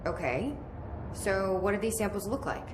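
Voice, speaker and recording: Actress as a young agent, dry, terse, noise behind. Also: female voice, medium pitch, expressive, normal speed, echoey room, close to an everyday voice. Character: determined young female agent. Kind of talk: video game cutscene acting.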